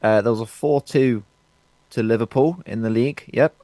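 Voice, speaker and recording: A man's voice: mid-range voice, normal speed, dark tone, no echo. A man co-hosting a casual football podcast, gloating and giggling as he talks. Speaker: podcast co-host.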